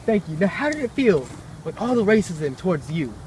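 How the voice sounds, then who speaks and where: A man's voice: high-pitched, sing-song, fairly quick, a little mellow, a little hiss or hum, poor audio. A young man reading scripted news as an anchor and reporter.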